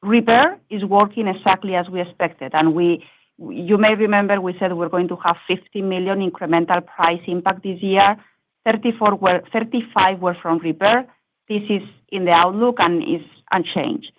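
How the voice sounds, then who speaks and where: A woman executive giving measured, structured, formal answers on a corporate earnings call. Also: mid-range voice. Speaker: company executive answering analysts. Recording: muffled lo-fi conference call audio.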